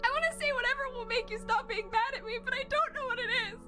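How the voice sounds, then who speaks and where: A woman's voice: extremely high pitch, quick pace, over music. A woman voicing a frightened young skeleton boy in a fan comic dub, crying and stammering as she pleads. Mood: tearful, pleading.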